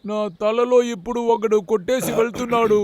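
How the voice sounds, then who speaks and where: Very high-pitched cartoon little-brother bear. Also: male voice, fairly even intonation, brisk, a little mellow. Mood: whiny. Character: eager, simple-minded younger-brother cartoon bear. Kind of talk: cartoon dub acting.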